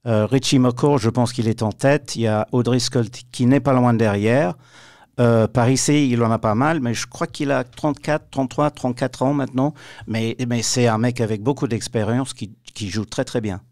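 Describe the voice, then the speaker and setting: A man's voice: medium pitch, normal speed, a little mellow, dry recording. Male sports pundit, dry studio sound. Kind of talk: radio sports commentary discussion.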